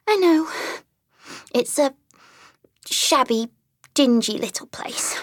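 A small, tearful mole with a high, slow, halting voice, put on by a woman reading the story. She stammers between sobs and pleads timidly. The sound is close and dry, with no room around it. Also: no echo. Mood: grieving. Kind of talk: storybook character voice.

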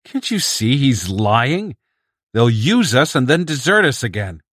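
A man voicing a huge broad-chested male mutant who speaks loudly, harshly and with contempt. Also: medium pitch, very animated, measured pace, no echo, studio quality, pitched above the performer's own voice. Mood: urgent.

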